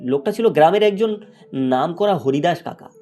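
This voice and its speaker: A man's voice: on the high side, expressive, moderate pace, warm tone. A man narrating a scripted moral fairy tale as a storyteller.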